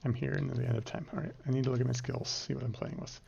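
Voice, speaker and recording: Gravelly male streamer, offhand gameplay chatter, dull lo-fi audio. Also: medium pitch, level tone, rapid-fire, darkish tone. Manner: offhand, talking to chat while playing. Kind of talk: casual gameplay commentary.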